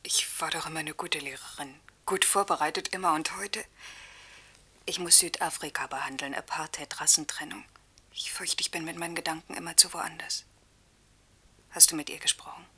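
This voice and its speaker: Radio play casting: needy lovestruck female teacher, husky whispery low voice with a tense, sharp edge. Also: steady tone, normal speed, exaggerated voice. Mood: troubled, pleading. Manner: breathy, needy, pleading, often whispering. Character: anxious lovestruck female teacher, secretly phoning her lover. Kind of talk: German radio play acting.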